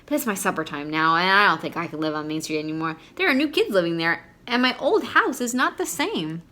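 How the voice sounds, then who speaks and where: Woman voicing an excitable, bright-voiced young boy. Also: lowish voice, fairly quick. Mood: let down. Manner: exclamatory, calling out. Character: adventurous young boy, excitable and easily dismayed.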